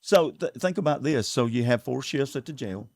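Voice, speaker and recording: A man with a Southern US accent talks in an earnest, plain way, often restarting and repeating words as he lays out his points. His voice sits at a middle pitch. The sound is dry and close, with no room echo. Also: lively intonation, normal speed, darkish tone. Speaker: sheriff candidate. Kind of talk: casual interview talk. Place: local TV studio.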